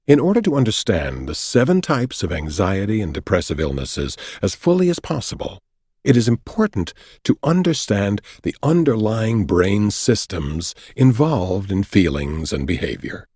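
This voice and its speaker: A man reads nonfiction as an audiobook narrator, with a slightly low, husky voice. His delivery is deliberate and explanatory, moving widely up and down in pitch as he lays out each point. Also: very animated, darkish tone, dry recording, studio quality. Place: dry recording studio.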